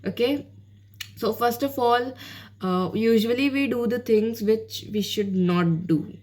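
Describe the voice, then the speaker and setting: A woman's voice: fairly high pitch, slow pace, darkish tone. Chatty Indian woman giving tips. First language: Hindi. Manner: rambling, with many fillers and restarts; switches between English and Hindi. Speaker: channel host giving learning tips. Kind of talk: casual spoken tutorial.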